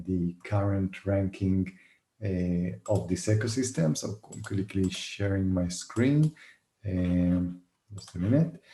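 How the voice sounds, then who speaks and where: A man hosting an online event, presenting casually with halting, hesitant phrasing. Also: sits low, dark tone. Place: indoor room, online video call. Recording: roomy, echoey sound.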